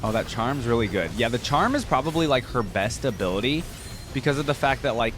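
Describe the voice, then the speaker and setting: A man's voice: mid-range voice, expressive, brisk. Casual man reacting to a game, music behind. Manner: informal, thinks aloud. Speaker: reaction video host, gamer.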